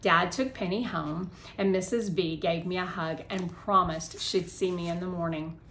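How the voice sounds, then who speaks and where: A woman narrating a children's story as a read-aloud host, in an expressive way, acting out the dialogue. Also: fairly low pitch, normal speed, slight background noise. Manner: animated, acts out dialogue.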